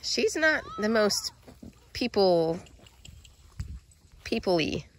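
A woman's voice: mid-range voice, big pitch swings, drawn-out words, slight background noise. A woman vlogging casually outdoors on her farm, laughing often and explaining things to her viewers. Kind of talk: casual family chat.

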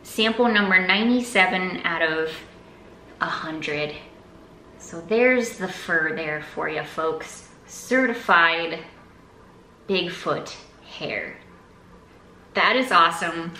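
A young American woman with a bright, forward voice hosts a casual vlog. She talks in an upbeat, enthusiastic, conversational way. She is recorded indoors at home, with audible room echo and faint noise behind her. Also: fairly low pitch, slow pace, long pauses. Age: young adult. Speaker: vlog host. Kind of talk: casual chat to viewers.

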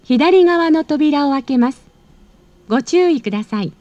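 A woman's voice: high voice, expressive, measured pace, darkish tone, no echo, dull audio. A woman giving a polite, formal scripted train announcement, heard through the speakers inside a train car. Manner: polite, formal, practised delivery. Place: train car, over public-address speakers. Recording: recorded off an in-car speaker, slightly distant.

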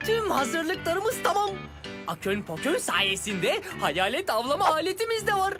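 A woman voicing an excitable, bossy young girl in a dubbed cartoon. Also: very high voice, normal speed, music in the background. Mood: determined. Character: excitable young girl Zeytin, bold and eager. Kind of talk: cartoon dubbing.

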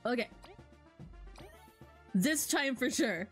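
A woman doing playful, excitable live game commentary and reading dialogue aloud with mock drama. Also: fairly high pitch, slow, soft background music. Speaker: game streamer.

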